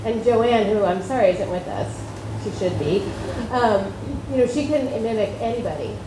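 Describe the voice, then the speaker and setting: A middle-aged actress telling stories on a convention panel in a chatty, laughing way. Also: female voice, medium pitch, lively intonation, moderate pace. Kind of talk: anecdotal panel talk. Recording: echoey hall with noisy house audio.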